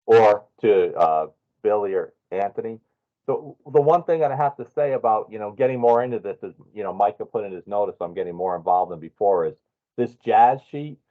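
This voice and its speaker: A man's voice: on the low side, animated, brisk. A man taking part in a work meeting, speaking casually to colleagues. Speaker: meeting participant. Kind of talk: casual spoken request to colleagues. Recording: thin, phone-like call line.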